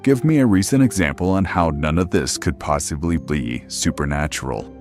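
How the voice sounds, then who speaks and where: A man acting as a late-night radio host in an audio drama. His voice is very low, over a music bed. He is sardonic and folksy, teasing whoever he talks to. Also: animated, moderate pace, darkish tone. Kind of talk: radio call-in show acting.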